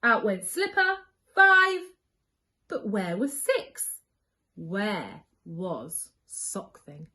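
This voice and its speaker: Animated woman reading stories to children. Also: medium pitch. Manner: emphatic, drawn-out key words for children. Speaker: school storyteller. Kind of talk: expressive children's story reading.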